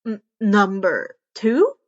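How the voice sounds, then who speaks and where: A woman's voice: mid-range voice, big pitch swings, slow, lo-fi sound, voice pushed down. A woman voicing an awkward, anxious but earnest teenage boy student who hesitates and stammers, in a story reading. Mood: confused.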